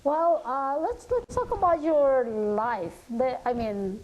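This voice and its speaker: A woman's voice: sits high, slow pace, a little mellow, highs cut off. Filipino woman hosting, noisy recording. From Philippines. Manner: teasing, interjecting, non-native English phrasing. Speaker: talk show host. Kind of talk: casual live interview.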